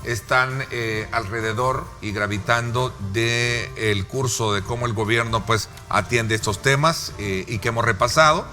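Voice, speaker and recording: A man with a Salvadoran accent hosting a political interview in a formal, wordy and halting manner. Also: mid-range voice, pushed and bright, faint music behind. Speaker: talk show host.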